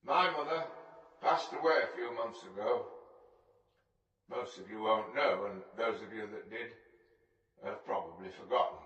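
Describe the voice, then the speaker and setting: A man's voice: on the low side, a little slow, pauses often, fairly bright tone, distant mic, highs cut off. An actor playing a lonely, grieving, stubborn man with a northern English accent in a radio play. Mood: grieving, subdued. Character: lonely, grieving, stubborn Lancashire man making a public stand. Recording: echoey hall, music behind, off mic.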